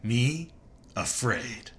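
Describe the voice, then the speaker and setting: A man's voice: medium pitch, a bit bright, exaggerated voice. A man voicing a proud, scornful hunter in a sci-fi video game, taunting and sardonic with cool menace.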